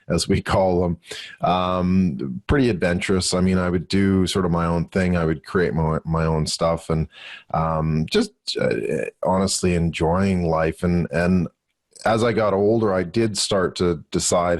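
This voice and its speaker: Deep-voiced Canadian man, chatting casually. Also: no echo, clean studio sound. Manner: halting, good-humoured, chuckles often. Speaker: podcast guest, business mentor and speaker. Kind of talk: casual interview answers.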